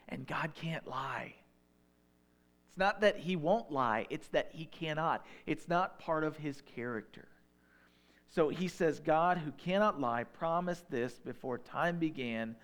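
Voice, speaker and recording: A man in his thirties with a Northern Illinois accent, preaching a sermon in a relaxed, conversational way with folksy asides. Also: fairly high pitch. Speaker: pastor.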